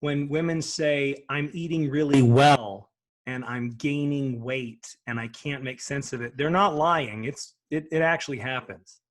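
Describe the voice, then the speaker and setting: A man's voice: medium pitch, fairly even intonation, measured pace. A man hosting an interview, speaking in an emphatic, earnest way. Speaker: host. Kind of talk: casual interview talk.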